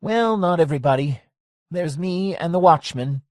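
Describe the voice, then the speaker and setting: A man's voice: fairly high pitch, expressive, normal speed, no room sound, voice pushed up, close to an everyday voice. A folksy, wary hangout owner , voiced by a man reading an audiobook, talks in a voice pushed well above the reader's own. The recording is close and dry. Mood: wistful.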